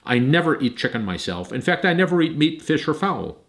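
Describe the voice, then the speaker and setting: An eccentric old professor, put on by a man reading a storybook, brisk and theatrical. His voice sits above the reader's usual low register and moves with lively swings. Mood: musing. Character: old eccentric male professor, gruff then kind.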